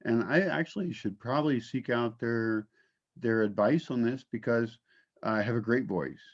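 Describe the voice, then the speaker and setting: Man, narrator, animated, self-deprecating, casual swearing, lo-fi dull audio. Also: medium pitch, moderate pace. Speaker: narrator and voice talent. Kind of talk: casual conversation.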